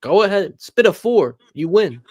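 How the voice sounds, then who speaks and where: A man's voice: high voice, sing-song, normal speed. A tipsy young man on a livestream panel, joking, rambling and trash talking. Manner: joking, rambling, self-described trash talker. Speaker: panel guest. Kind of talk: casual livestream panel chat.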